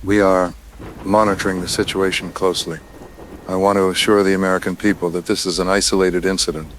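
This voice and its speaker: Casting: man to play guarded defense official, lower-pitched, formal reassuring statements, slight background noise. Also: little pitch movement, a little fast, fairly bright tone, low-quality recording. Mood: guarded, placating. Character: evasive male senior defense official, calm and guarded. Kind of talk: official statement in audio drama. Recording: faint background ambience.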